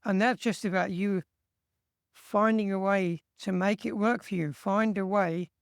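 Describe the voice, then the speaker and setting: A man from England with an English accent, co-hosting a casual chat and giving steady, earnest encouragement. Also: high-pitched, measured pace, creaky, smooth, no room sound, studio quality. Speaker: co-host.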